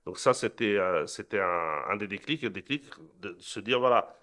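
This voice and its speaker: A man's voice: lowish voice, normal speed, pushed and bright. A middle-aged man from Portugal, answering interview questions earnestly, often repeating and restarting words. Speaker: interview guest, entrepreneur.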